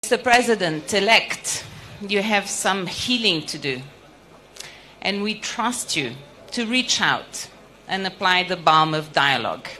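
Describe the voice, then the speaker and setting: A middle-aged woman gives a formal diplomatic address outdoors, her voice clear and bright, with a moderate pitch. She speaks in measured, deliberate phrases with pauses, close to a handheld microphone. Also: expressive, low-quality recording. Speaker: EU diplomat giving an official address. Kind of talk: formal ceremonial speech. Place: outdoor ceremony with a large seated audience. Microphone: handheld microphone held close.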